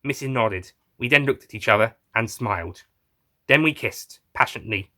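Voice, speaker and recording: A man narrates a first-person tale in a bright, forceful voice. He sits at an average pitch and reads from a script as a storyteller. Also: normal speed. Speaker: writer and narrator reading own fan fiction.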